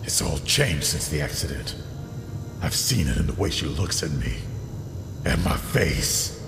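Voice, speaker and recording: An actor playing a scarred former district attorney turned crime boss, brooding and bitter, with two sides that argue. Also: male voice, extremely low pitch, big pitch swings, a little slow, vocal fry, music in the background, exaggerated voice.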